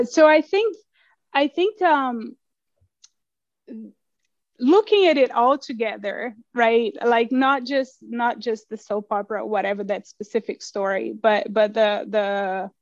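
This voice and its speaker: A woman in middle age talks slowly and reflectively, often circling back over her words with little laughs. Her voice sits a bit high. The recording is dry and somewhat dull, close to the mic. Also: dry recording. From Brazil. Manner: thoughtful, many restarts and soft laughs. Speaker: interviewed writer. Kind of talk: casual interview conversation.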